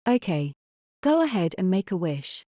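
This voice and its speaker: A woman's voice: on the low side, very animated, moderate pace, mellow, no room sound, clean studio sound. A woman acting as an earnest young mother, doubting yet hopeful, in an amateur audio drama. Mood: gentle coaxing.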